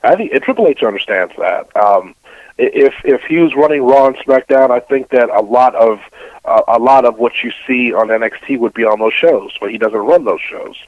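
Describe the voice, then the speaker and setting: A middle-aged man gives relaxed interview answers over a dull, lo-fi connection with no room sound. His pitch is ordinary, and he builds points haltingly, repeating phrases as he goes. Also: no echo. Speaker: sports radio host, interview guest. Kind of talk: casual interview answers, reflective opinion.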